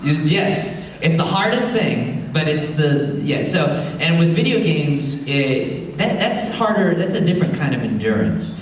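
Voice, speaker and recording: A man's voice: a little high, big pitch swings, unhurried, few pauses, slight background noise. A man, a voice actor, explaining his craft on a convention panel, recorded from far off in a large hall.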